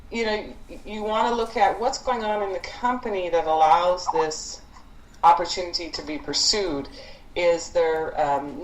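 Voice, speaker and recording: A woman leading a conference call briefing for project teams, speaking in a halting, thinking-aloud way with frequent pauses. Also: on the low side. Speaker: program leader briefing student project teams. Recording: echoey conference call with background noise.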